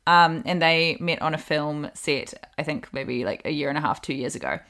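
Bright-voiced woman chatting. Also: low voice, moderate pace. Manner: chatty, laughs often, storytelling. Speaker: podcast co-host. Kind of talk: casual chat.

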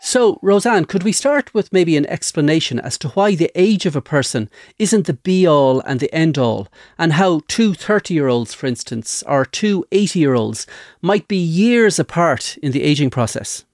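An Irish man hosting a news podcast, introducing the topic and asking his guest questions in a measured, conversational way. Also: fairly high pitch, lively intonation, a little mellow, dry recording, clean studio sound. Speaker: podcast host.

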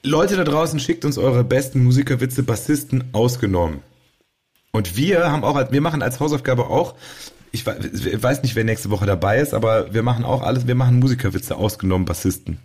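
A man's voice: mid-range voice, animated, a little fast, darkish tone, breathy edge. A man hosting a podcast in a casual, chatty style. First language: German. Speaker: podcast host. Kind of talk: casual chat.

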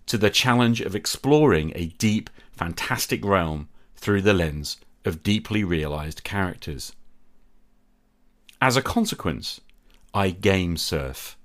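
A man hosting a podcast, reading a measured, candid and self-critical monologue. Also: on the low side. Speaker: podcast host. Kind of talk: reflective scripted monologue.

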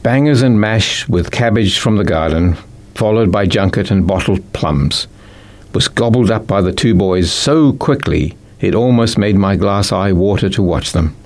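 A man's voice: lowish voice, unhurried, darkish tone. A man with a New Zealand accent reading a children's story as scripted narration. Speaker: author reading own book.

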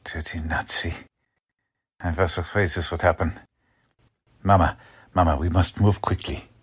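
A man's voice: deep voice, steady tone, measured pace, breathy edge, full character voice. A man playing an anxious, principled middle-aged German-American father in a 1940s-style radio drama, grave and earnest. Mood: grave, urgent. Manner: grave, earnest, formal phrasing. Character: anxious German-American family patriarch, urgent but principled.

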